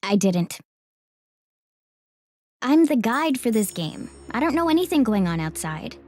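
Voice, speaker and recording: A woman's voice: on the high side, big pitch swings, measured pace, darkish tone. A woman playing a composed, condescending game guide who is secretly a villain, in an anime game dub. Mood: polite, aloof. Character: game guide girl revealed as smug, scheming villain. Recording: dramatic background music.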